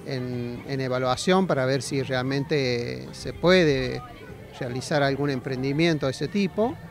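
Argentine guy, middle aged, gravelly voice, halting interview answers, faint music behind. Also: mid-range voice. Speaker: agronomy faculty representative being interviewed. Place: outdoors at a busy fairground. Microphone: handheld interview microphone.

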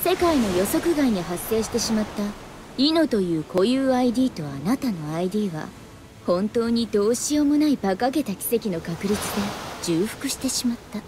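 Woman as a high-voiced, otherworldly young anime being. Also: animated, moderate pace, natural voice. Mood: solemn. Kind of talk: anime video game voice acting. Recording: noisy game ambience under voice.

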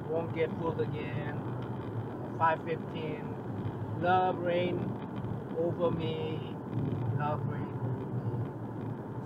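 Middle-aged man, rambling and enthusiastic, fairly high voice, dull dashcam audio, loud road noise in a moving car. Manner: rambling, enthusiastic, with frequent restarts and fillers. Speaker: music vlogger. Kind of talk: casual talk to camera while driving. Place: inside a car on a highway. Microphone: dashboard camera mic.

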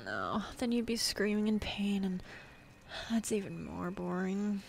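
A woman's voice: mid-range voice, lively intonation, measured pace, creaky, soft background music. A woman voicing a bossy, teasing demon in an intimate ASMR roleplay, sardonic and drawling, easily bored, slipping into whispers. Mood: bored, irritated. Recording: faint ambient forest background noise.

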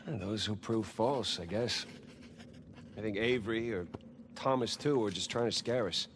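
A man's voice: on the low side, normal speed, a little hiss or hum, close to an everyday voice. An actor playing an eager, curious male treasure hunter in a video game cutscene, full of questions.

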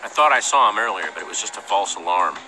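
A man's voice: fairly low pitch, lively intonation, fast, music in the background, lightly acted, rough recording. An actor playing an earnest young male hero in a video game, speaking casually but with urgency. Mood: determined.

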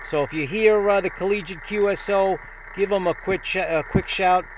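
Male ham radio vlogger, slightly high voice, casual hobbyist narration, clearly noisy recording. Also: normal speed. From New York, United States. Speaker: amateur radio vlogger and operator.

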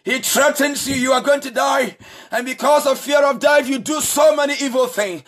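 A man preaching a sermon as pastor, shouting with a repetitive, sing-song cadence. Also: very high voice, moderate pace. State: married. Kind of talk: impassioned preaching.

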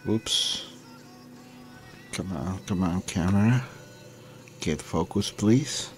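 A man from Chile with a Chilean Spanish accent, narrating an informal radio demonstration in a halting way on a handheld camera. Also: deep voice, soft background music. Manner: halting, with many pauses. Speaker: amateur radio operator and vlogger. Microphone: handheld camera's built-in microphone. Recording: noisy handheld camera audio.

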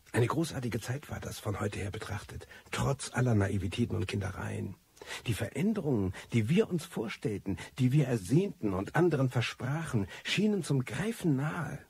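A weary former revolutionary in a radio drama. His voice is low and very husky, with a gravelly creak. He tells his life story deliberately, with disillusioned reflection. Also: exaggerated voice. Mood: wistful nostalgia.

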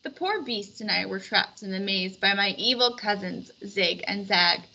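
A woman's voice: animated, normal speed, creaky, pushed and bright, highs cut off, slight background noise. A woman voicing a jovial, grand king with a proclaiming manner in a storybook read-aloud. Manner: booming, proclaiming.

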